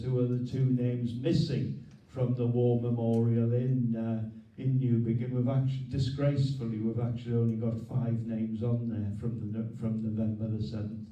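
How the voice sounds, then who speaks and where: A man hosting a local history meeting, speaking off the cuff in a halting way, repeating words and filling with "uh". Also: mid-range voice, dark tone, lo-fi sound. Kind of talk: unscripted thanks and announcements at a meeting. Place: meeting hall. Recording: echoey hall, voice from far off.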